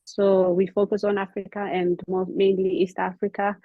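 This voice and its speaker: A woman from Ethiopia, a company founder, explaining hair care in an earnest way, often pausing with ums, over a video call. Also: medium pitch, level tone, no echo. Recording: dull, slightly lo-fi call audio.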